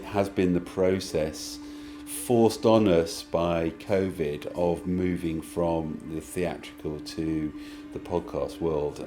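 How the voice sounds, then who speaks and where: A man giving a thoughtful interview answer as a writer, halting as he searches for his words. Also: sits low, mellow. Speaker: theatre writer.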